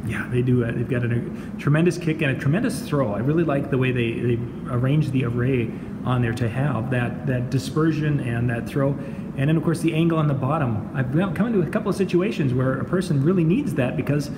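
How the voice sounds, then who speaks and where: A man's voice: medium pitch, brisk. A man hosting a casual guided tour in an informal, agreeable way. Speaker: host of a video show. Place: small hard-walled demo room with high ceiling, indoors. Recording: echoey reverberant room with noisy ambience.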